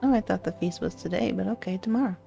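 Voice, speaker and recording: Creaky-voiced woman commenting on a game. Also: mid-range voice, fast talker, over music. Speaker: game streamer. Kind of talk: casual playthrough commentary, reading dialogue. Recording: dull, lo-fi microphone.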